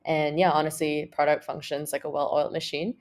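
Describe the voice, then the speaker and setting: A woman's voice: deep voice, brisk. A young woman from Malaysia, a startup co-founder, chatting casually as an interview guest with lots of fillers and restarts. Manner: relaxed, many fillers and restarts.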